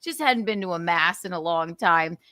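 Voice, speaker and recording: A woman's voice: on the low side, normal speed, bright, forceful tone, no echo, clean studio sound. A woman hosting a casual comedy stream, telling stories with a giggle and acting out what people said. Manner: storytelling, giggly, acts out quotes. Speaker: host.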